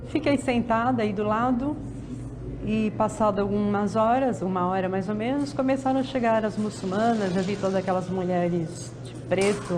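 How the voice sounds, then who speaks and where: A woman in her fifties telling her story earnestly as an interviewee. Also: fairly high pitch. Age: 50s. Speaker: interviewee, convert. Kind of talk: recorded testimony.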